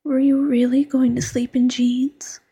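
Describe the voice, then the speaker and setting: A woman voicing a doting, teasing fox girlfriend who mothers her partner, in soft coaxing whispered roleplay. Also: high-pitched, level tone, measured pace, breathy edge, full character voice.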